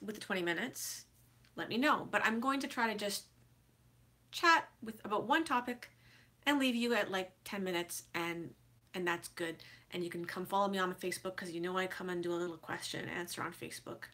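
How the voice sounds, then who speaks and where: A woman's voice: medium pitch, sharp tone. A woman in her forties with a head cold, chatting casually and congested, with many fillers and restarts, giving writing advice in a vlog. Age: 40s. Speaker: author vlogger.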